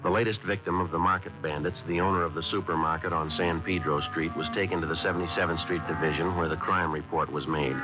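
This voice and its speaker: An actor playing a terse police sergeant who narrates his cases in a clipped, flat deadpan, from a muffled 1950s radio drama. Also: sits low, lightly acted.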